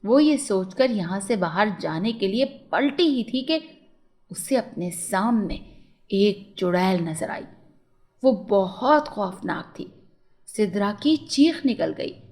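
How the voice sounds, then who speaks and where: A woman's voice: medium pitch, animated, takes it slowly, darkish tone. A woman narrating a scripted children's moral story as a storyteller.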